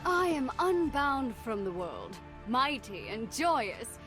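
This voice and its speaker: A woman's voice: high voice, very animated, slow pace, over music, exaggerated voice. An actress voicing a joyous, boastful young woman warrior in a video game, grand and theatrical, proclaiming her fearlessness. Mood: grand, solemn. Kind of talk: video game champion voice acting.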